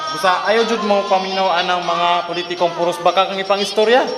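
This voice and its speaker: Man playing scornful Filipino henchman, high voice, amateur radio drama, reverberant hall, music behind. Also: steady tone, brisk, lightly acted. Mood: insistent, scornful. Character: insistent male political henchman, scornful persuader. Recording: echoey hall with long reverb.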